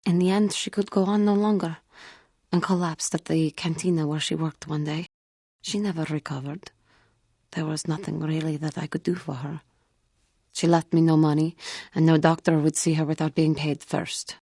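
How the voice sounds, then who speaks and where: A female cat-like alien warrior from a video game, proud yet haunted by guilt. The voice sits low with a gravelly creak and a husky grain. The delivery is formal, earnest and halting. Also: flat delivery, warm tone, no room sound, clean studio sound. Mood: bitter, sorrowful. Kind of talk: scripted video game character dialogue.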